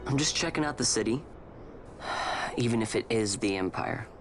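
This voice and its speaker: A man's voice: lowish voice, expressive, normal speed, faint music behind. An actor playing a streetwise young male adventurer in a video game, speaking in a casual, blunt, boyish way. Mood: casual, wry. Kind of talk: video game cutscene acting.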